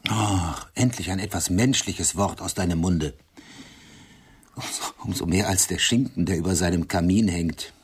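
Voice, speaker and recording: An actor playing a sharp-tongued, condescending intellectual man in a German radio play, articulate and insistent. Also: low voice, moderate pace, breathy edge. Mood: quiet, wry. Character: Serge, male friend, sharp-tongued, lecturing, condescending intellectual. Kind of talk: radio play acting, dialogue.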